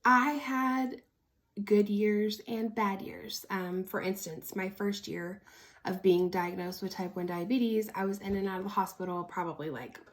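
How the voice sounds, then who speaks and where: A woman's voice: mid-range voice, fairly even intonation, a little slow. Female vlogger, early thirties, American. Mid-range voice, chatty and candid, speaking informally as if to followers. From Oklahoma, United States. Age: early 30s. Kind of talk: casual personal storytelling to camera.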